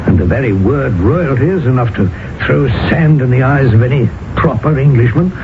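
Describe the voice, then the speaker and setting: An actor playing a worldly British writer who counsels a friend in a wry, measured way, in a radio drama. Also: male voice, medium pitch, expressive, natural voice. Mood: cautionary. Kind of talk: 1980 radio drama acting and storytelling. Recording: noisy, narrow-band old radio broadcast.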